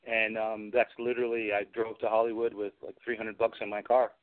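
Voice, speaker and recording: A man's voice: fairly low pitch, little pitch movement, a little fast. Man telling stories over a phone-like line. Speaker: guest, film special effects and prop maker. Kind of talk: casual anecdotal interview chat. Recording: phone-like narrow-band radio call-in audio.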